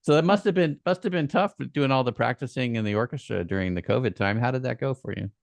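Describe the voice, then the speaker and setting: A man's voice: mid-range voice, fast talker, gravelly, dry recording. Encouraging male podcast host, dry sound. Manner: conversational, encouraging, with restarts. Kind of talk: podcast interview.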